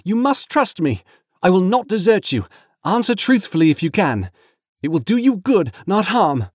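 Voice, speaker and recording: A man voicing an earnest, loyal, gentle young hobbit from a storybook, pleading in formal phrases. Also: sits high, very animated, normal speed, dry recording, clean studio sound, voice pushed up. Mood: reassuring.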